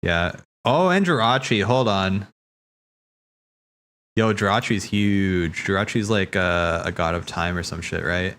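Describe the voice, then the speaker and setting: A man's voice: deep voice, measured pace, a bit bright. A man hosting a livestream, talking in a casual, slangy, rambling way as he thinks aloud. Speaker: livestream host. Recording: background music.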